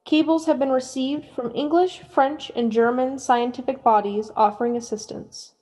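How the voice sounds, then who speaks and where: A woman's voice: sits high, steady tone, normal speed, darkish tone. A woman playing a businesslike studio announcer, formally relaying emergency bulletins in a radio play. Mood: businesslike urgency. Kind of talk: scripted radio drama announcing.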